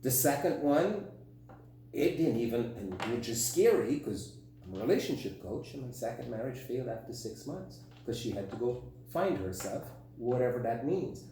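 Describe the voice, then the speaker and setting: A man heard in a very reverberant room, some way from the mic, with a faint hum. His tone is dark and relaxed. He talks like a podcast co-host, frank and emphatic about his opinions. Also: medium pitch, moderate pace, far from the mic. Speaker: podcast co-host and relationship coach. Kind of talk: conversational podcast discussion.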